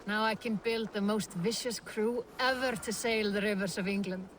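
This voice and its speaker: A hearty, eager Viking woman acted out by an actress for a video game. Her voice sits at a middle pitch and carries pride, with faint noise behind it. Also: fairly even intonation, moderate pace, a bit bright, lightly acted, poor audio. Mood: proud, eager.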